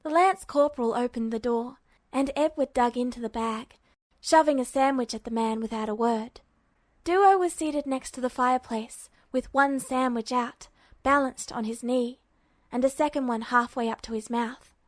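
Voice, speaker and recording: A woman's voice: on the high side, normal speed, no room sound. A woman reading scripted fiction aloud as an audiobook narrator. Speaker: fan fiction audiobook reader.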